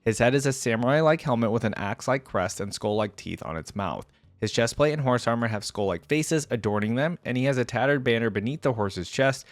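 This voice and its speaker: A man's voice: mid-range voice, expressive, a little fast, no echo. A man giving casual, informal reaction commentary as a video host, thinking aloud and at times reading out.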